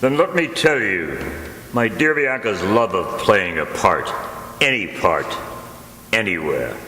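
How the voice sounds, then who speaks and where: Man acting a deep, sardonic swindler parson. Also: very animated, slow pace, bright, forceful tone, full character voice. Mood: wry. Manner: bitter, sardonic. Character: weary, sardonic male swindler posing as a parson. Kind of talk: stage radio mystery acting. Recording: echoey hall, faint noise.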